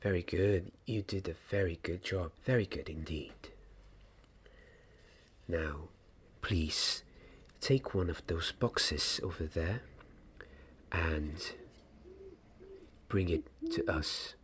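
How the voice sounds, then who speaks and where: A young man in an ASMR roleplay playing a gentle, patient wand maker mentoring an apprentice, speaking softly and breathily, at times in a whisper, with hesitant, deliberate phrasing. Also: sits low, full character voice. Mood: calm, kindly. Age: young adult. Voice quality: soft, breathy, partly whispered. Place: small dim indoor room. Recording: clear background noise and ambience.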